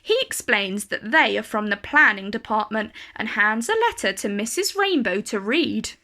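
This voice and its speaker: A woman reads a storybook aloud for children. Her voice is fairly high and bright, with animated intonation and careful, expressive phrasing that suits young listeners. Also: normal speed. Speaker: storyteller reading a children's picture book.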